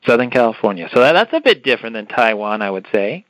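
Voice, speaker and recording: A man's voice: mid-range voice, very animated, quick pace, fairly bright tone, dry recording, rough recording. A man hosting a podcast interview, enthusiastic and given to repeating words as he asks his questions. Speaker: podcast host.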